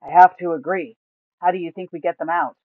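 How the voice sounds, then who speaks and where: A woman voicing a greedy, blunt fantasy adventurer in an acted story reading, with a slow, drawn-out delivery. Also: fairly low pitch, steady tone, dry recording, close to an everyday voice. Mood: scheming. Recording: thin, phone-like sound.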